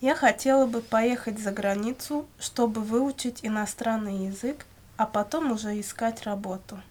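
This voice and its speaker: A woman reading scripted language-course questions and answers in a measured, carefully enunciated way. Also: fairly high pitch, darkish tone. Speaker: language lesson reader.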